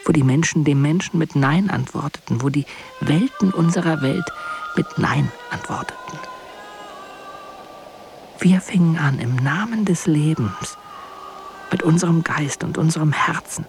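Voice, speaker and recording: An actor playing a tender, wounded lover in a poetic radio drama, speaking in hushed, intimate, halting phrases. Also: male voice, fairly high pitch, creaky. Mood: earnest, yearning. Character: tender, wounded lover addressing his beloved Sarah.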